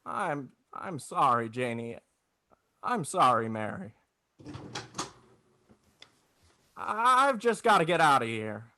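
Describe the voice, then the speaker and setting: An actor playing an agitated small-town family man in 1940s radio drama, halting and stammering as he talks. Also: mid-range voice, big pitch swings, background noise. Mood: pleading, defeated. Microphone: close, dry video-call microphone.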